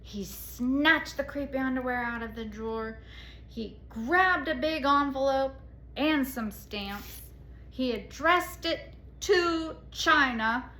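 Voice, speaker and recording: Echoey, dramatic woman reading a picture book. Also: high voice. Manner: dramatic, with hushed asides and pauses. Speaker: read-aloud storyteller. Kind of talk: expressive children's picture-book read-aloud. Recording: echoey room with faint noise.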